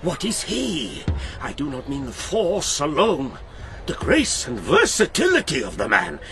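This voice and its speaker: An actor playing an astonished, wrathful knight, exclaiming archaic verse in a declamatory radio drama manner. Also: male voice, fairly high pitch, huge pitch swings, measured pace, a bit bright, full character voice. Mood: amazed.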